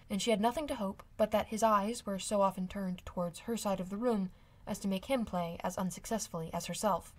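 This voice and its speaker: A woman reading a classic novel aloud as scripted audiobook narration. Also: medium pitch. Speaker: amateur audiobook reader.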